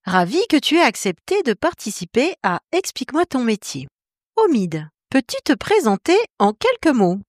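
A woman's voice: a little high, very animated, moderate pace, no echo, studio quality. A woman hosting a podcast interview, reading scripted questions in a polite, presenter-like way.

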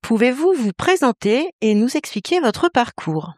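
A woman with a fairly high voice asks prepared interview questions clearly and formally. The sound is dry and close to the mic. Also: big pitch swings, unhurried, a little mellow, no echo.

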